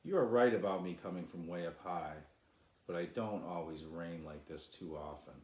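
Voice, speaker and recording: A man voicing a sad, weary, gentle female cloud in a children's storybook, apologetic and wistful. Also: low voice, normal speed, natural voice. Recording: roomy echo.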